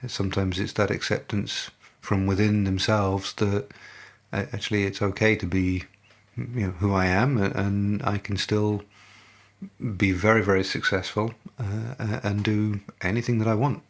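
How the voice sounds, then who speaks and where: A middle-aged man with an English accent, a guest in a podcast interview, speaking in a thoughtful, measured way with many fillers and restarts. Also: fairly low pitch, level tone. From England. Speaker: guest, business leader.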